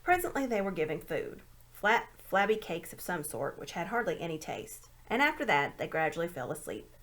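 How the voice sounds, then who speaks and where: A woman reading a children's story aloud in an expressive narrating style. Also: on the low side, normal speed. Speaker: amateur audiobook reader.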